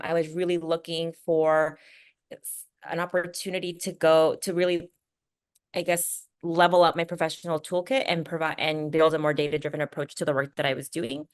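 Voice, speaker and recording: A woman's voice: deep voice, dry recording, studio quality. An American woman in her thirties, speaking conversationally and earnestly as a panelist about her own experience. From California, USA. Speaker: panelist, business school alumna.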